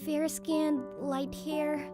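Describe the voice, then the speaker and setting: A woman voicing a shy, gentle teenage girl in an anime-style dub, speaking softly and hesitantly. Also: sits high, flat delivery, very slow. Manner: soft, hesitant. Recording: music bed behind voice.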